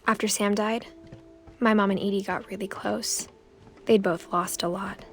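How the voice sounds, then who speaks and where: A woman's voice: mid-range voice, fairly even intonation, moderate pace, fairly bright tone, natural voice. An actress playing a wistful young American woman who recounts family stories in a video game. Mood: hushed, wistful. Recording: music behind the voice.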